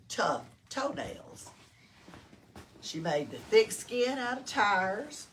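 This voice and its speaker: A woman with a Southern US accent reading a picture book aloud to children, expressively, pausing to put questions to them. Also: on the low side. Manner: expressive, engages listeners with questions. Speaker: storytime reader at a library. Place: indoors in a library. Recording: distant mic, noticeable room noise.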